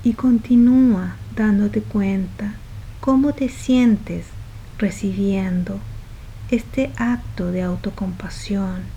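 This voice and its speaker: A woman guides a meditation in a soft, relaxed, mellow voice. She speaks slowly, one short phrase at a time, with breathy, trailing endings. A faint noise and low rumble sit behind her. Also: rough recording.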